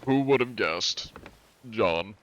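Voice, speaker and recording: A man's voice: on the low side, animated, slow pace, a bit bright. Rambling young man streaming games. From northern United States. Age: 20s. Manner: rambling, filler-heavy, reading chat aloud. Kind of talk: casual live-stream chat while gaming.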